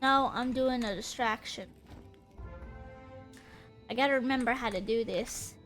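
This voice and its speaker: A woman's voice: fairly high pitch, unhurried, fairly bright tone, faint music behind. A young woman chatting casually while streaming a game, giggly and rambling, with a stuffy, congested nose. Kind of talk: casual gameplay chat.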